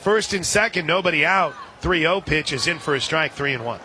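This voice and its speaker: An American man calling live softball play-by-play on the radio, slipping into conversational asides between pitches. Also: lively intonation, a little fast. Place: outdoor softball stadium. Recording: noisy outdoor stadium broadcast, loud crowd. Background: crowd and stadium noise.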